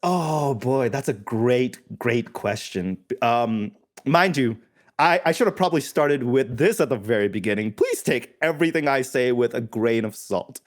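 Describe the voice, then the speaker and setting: A man's voice: medium pitch, expressive, a little slow. A man from India who is a podcast guest, explaining in casual conversation with a thoughtful, self-correcting manner.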